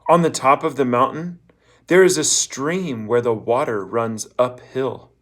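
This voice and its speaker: A man's voice: mid-range voice, a little slow, a bit bright, lightly acted. Storybook character, old woman voiced by a man, blunt, bossy, emphatic, fortune-teller giving orders. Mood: instructing. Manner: emphatic, instructing, asks rhetorical questions.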